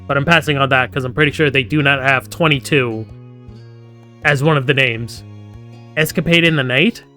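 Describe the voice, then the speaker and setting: A man's voice: mid-range voice, steady tone, moderate pace, bright, forceful tone, soft background music. A man hosting a gaming live stream, playfully reading story text aloud and breaking off into theatrical, jokey asides.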